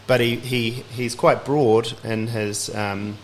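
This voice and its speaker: A man of middle pitch hosts a casual podcast, curious and easygoing, filling gaps with quick yeahs. His voice sits over a faint bed of room noise. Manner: relaxed, curious, chatty with agreeing interjections. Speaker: podcast host. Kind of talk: casual interview chat.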